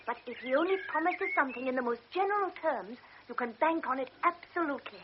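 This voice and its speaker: A woman's voice: high-pitched, lively intonation, fast talker. An actress playing an eager young invalid girl pressing for news in a radio drama. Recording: dull old radio recording with loud hiss.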